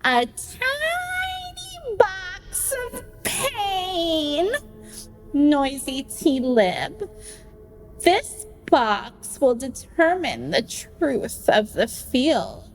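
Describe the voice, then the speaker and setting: A woman playing an aloof, mystical diva with a drawn-out theatrical delivery in a radio play. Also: very high voice, big pitch swings, faint music behind, exaggerated voice. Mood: ominous.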